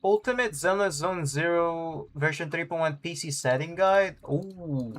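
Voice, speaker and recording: A man's voice: on the high side, measured pace, a bit bright. Casual male streamer with music behind. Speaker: game streamer. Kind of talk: casual livestream chat.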